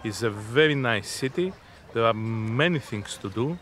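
A man in his fifties answering interview questions in a halting, deliberate, unscripted way. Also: mid-range voice, animated, a bit bright. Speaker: interviewee, innovation zone representative. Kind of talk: spoken interview, unscripted explanation. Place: outdoors on a sea beach. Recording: outdoor seaside background noise.

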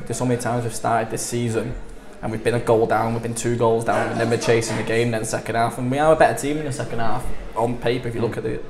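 A man's voice: medium pitch, level tone, quick pace, few pauses, echoey room. A man, a team captain talking plainly in a post-match interview and leaning on "you know" and "I think". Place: small indoor club room.

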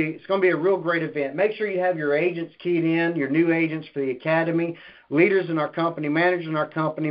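Man, conference call host. Fairly high pitch, informal and halting business updates, lo-fi phone audio. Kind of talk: business announcements. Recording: dull lo-fi conference phone line.

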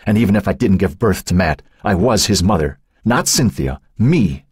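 A man reading the part of a fearful, troubled woman under questioning, halting and husky. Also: low voice, lively intonation, a little mellow, dry recording, studio quality. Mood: angry. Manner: halting, pleading, turning angry.

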